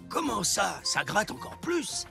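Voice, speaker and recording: An actor voicing a learned village elder in a French children's cartoon, with a gravelly, creaky voice and an instructive manner. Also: male voice, high voice, huge pitch swings, a little slow, over loud music, exaggerated voice. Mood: puzzled. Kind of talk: French animated series dubbing.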